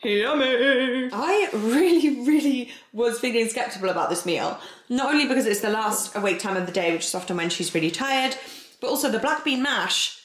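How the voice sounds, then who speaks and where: A young Welsh mother chatting for a home vlog, lively and a little frantic, laughing often. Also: a little high, normal speed. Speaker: vlogger, new mother. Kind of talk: casual home vlog chat. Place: home, indoors. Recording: echoey home room.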